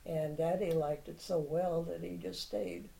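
Slow, mellow-voiced older woman reminiscing. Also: low voice, steady tone, creaky, rough recording. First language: Czech. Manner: unhurried reminiscing, plainspoken opinions. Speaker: interviewee, Czech heritage club member. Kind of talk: oral history interview.

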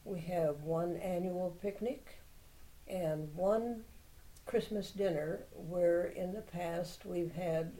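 An older woman, a native Czech speaker, talks slowly and at length, reminiscing as she goes. Her voice sits a little low and sounds warm and mellow. She says what she thinks without fuss. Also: low-quality recording. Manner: unhurried reminiscing, plainspoken opinions. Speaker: interviewee, Czech heritage club member. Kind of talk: oral history interview.

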